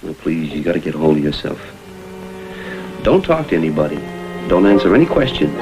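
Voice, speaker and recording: An actor playing a tough, principled investigator in a vintage TV detective drama, speaking in a clipped, authoritative, businesslike way. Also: male voice, extremely low pitch, sing-song, brisk, full character voice, poor audio. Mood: steady, cautioning. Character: tough, principled hired investigator, firm and blunt. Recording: vintage TV soundtrack, faint music.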